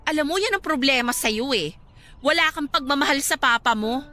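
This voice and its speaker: A woman's voice: high voice, fairly quick, pushed and bright. A woman playing a smug, cold-hearted young wife and scornful rival in a Filipino radio drama, dismissive and taunting, with a Filipino accent. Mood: scornful, irritated. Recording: dramatic music bed behind the voice.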